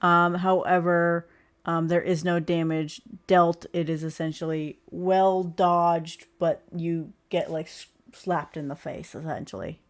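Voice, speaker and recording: A woman running a tabletop roleplaying game as game master, explaining rules in a halting way. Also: on the low side, flat delivery.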